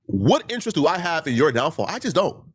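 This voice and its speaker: A man's voice: a little high, big pitch swings, fast talker, husky. A man who stutters, a livestreamer giving a heated monologue to his critics, full of repeated points and rhetorical questions.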